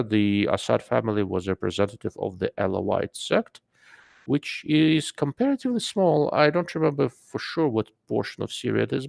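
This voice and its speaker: A man's voice: fairly low pitch, vocal fry, darkish tone, no room sound. A man with an accent hosting a live stream, talking loosely and rambling with lots of fillers as he comments and answers viewers. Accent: non-native English. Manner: rambling, filler-heavy, greets chat mid-sentence. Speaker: livestream host.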